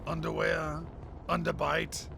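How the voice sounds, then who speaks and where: A man playing a mocking, exaggerated supervillain with a creaky voice in a comedic radio play. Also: fairly high pitch, monotone, slow pace, noisy background, heavily acted. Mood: teasing.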